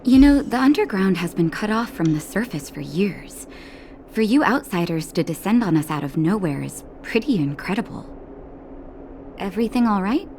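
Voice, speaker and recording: An actress voicing a calm, caring woman doctor in a video game, speaking in a gentle, reassuring way. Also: mid-range voice, moderate pace, slight background noise, natural voice. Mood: gentle, serious. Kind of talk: scripted game dialogue. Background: music.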